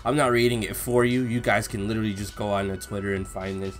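A young man doing casual, slangy reaction commentary and read-along, expressive and given to drawn-out exclamations. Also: on the low side. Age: young adult. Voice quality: slangy, informal. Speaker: YouTube reaction host. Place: small indoor room at home.

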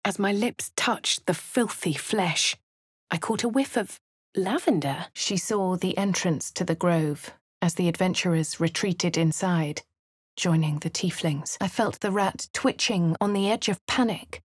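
An actress playing a posh female adventurer from a video game, narrating her deeds with a husky voice in a posh English accent. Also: fairly low pitch, unhurried, no echo, studio quality. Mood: measured. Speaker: player character voice. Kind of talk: game voice lines, first-person narration.

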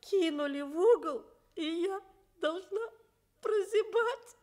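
A sooty old saucepan that laments how it has been neglected. The voice is high and drags slowly through each plaintive phrase, in the manner of a Soviet children's radio play. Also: female voice, expressive, a little mellow, heavily acted. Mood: tearful, self-pitying. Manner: plaintive, lamenting, drawn-out delivery. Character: old sooty cooking pot, tearful and aggrieved.

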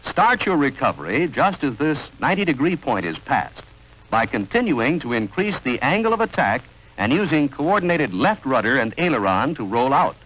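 A man reading scripted 1940s training film narration in a measured, instructional, clearly enunciated manner. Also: medium pitch, big pitch swings, fairly bright tone. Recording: dull, band-limited vintage film soundtrack.

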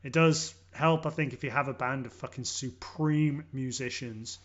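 Casting: male English podcast host, enthusiastic and sweary, halting casual delivery, muffled lo-fi recording. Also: mid-range voice, steady tone. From born in London, grew up in Leeds, Yorkshire. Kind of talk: casual music review chat. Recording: dull lo-fi audio.

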